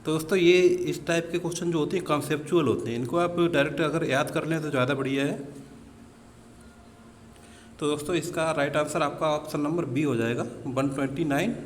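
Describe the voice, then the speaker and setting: A man's voice: a little high, a little fast. A man teaching exam practice questions in an explanatory way, mixing in English technical terms and addressing listeners as friends. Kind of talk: practice question walkthrough lesson. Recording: reverberant room with background noise.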